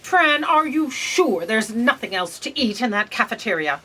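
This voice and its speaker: A woman's voice: on the high side, big pitch swings, normal speed, bright, forceful tone, husky, exaggerated voice, low-quality recording. A woman playing an imperious, gluttonous, short-tempered boss, haughty and theatrical, in a comic audio drama.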